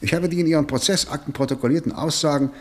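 An actor playing a formal, insistent senior prosecutor in a radio drama, questioning like a stern interrogator. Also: male voice, on the high side, very fast, lightly acted. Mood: inquiring. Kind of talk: 1960 German radio drama acting.

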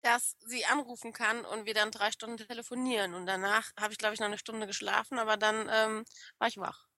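Bright-voiced German woman with regional dialect. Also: fairly high pitch, fairly even intonation, fairly quick, no room sound, clean studio sound. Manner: playful, reads chat names aloud, frequent laughs. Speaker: podcast co-host. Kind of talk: casual streamed podcast chat.

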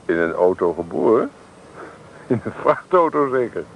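Excitable, touchy young man, very expressive. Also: on the low side, normal speed. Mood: playful. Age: young adult. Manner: bantering, easily indignant. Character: excitable, touchy young male student, farmer's eldest son. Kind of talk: radio play acting, naturalistic dialogue. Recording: noisy old radio play recording.

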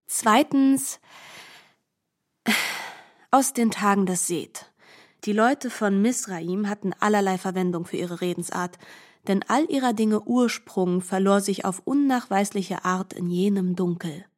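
An actress playing a wry, scholarly co-narrator who comments on the tale in a literary radio play. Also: female voice, mid-range voice, a little slow, close to an everyday voice.

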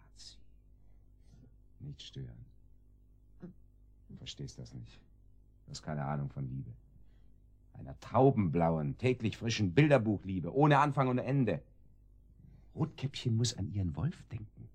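A low-voiced, taunting kidnapper. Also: male voice, very animated, a little slow, creaky, pauses often, darkish tone, slightly rough, heavily acted. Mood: irritated, scornful. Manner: sarcastic, taunting, domineering. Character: menacing, mocking male kidnapper, boss of a sidekick. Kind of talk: German radio drama acting.

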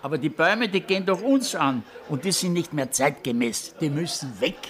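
An actor playing a smug, progress-minded village councillor in a radio drama, declamatory. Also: male voice, fairly high pitch, animated, normal speed, fairly bright tone. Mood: smug, insistent.

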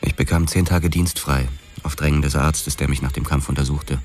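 Very deep male voice, hard-boiled ex-boxer cop, terse grim noir radio narration, music behind. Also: steady tone, normal speed, a little mellow. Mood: dry, reflective. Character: hard-boiled boxer turned Los Angeles policeman narrating his past. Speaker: narrator and lead actor. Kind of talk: scripted first-person noir radio drama narration. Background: dramatic music.

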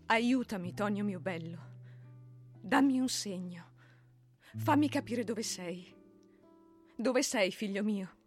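A woman's voice: medium pitch, expressive, slow, long pauses, faint music behind. An actress playing a grieving middle-aged peasant mother, pleading tenderly in a radio drama monologue.

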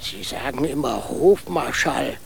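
An actor playing a poor, humble old beggar in a radio play, gravelly and resigned. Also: male voice, medium pitch, heavily acted. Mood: weary, humble. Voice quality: gravelly, creaky. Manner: slow, resigned, plain-spoken.

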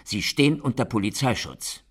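A man playing a gruff, scheming police inspector in a radio drama, with a commanding, emphatic delivery. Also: medium pitch, expressive, normal speed. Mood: firm, persuasive.

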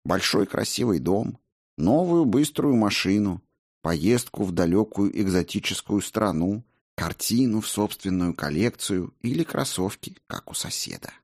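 A man's voice: lowish voice, expressive, a little slow, a little mellow, no echo, studio quality. A man voicing a stern, scornful Buddhist monk teacher in a storybook, speaking in a blunt, didactic way. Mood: instructive.

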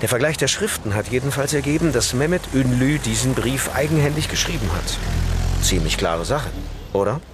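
An actor playing a confident, self-satisfied police inspector in a naturalistic radio crime drama, talking in a matter-of-fact, assured way. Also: male voice, mid-range voice, animated, a little fast, music in the background, natural voice. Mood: confident, dismissive.

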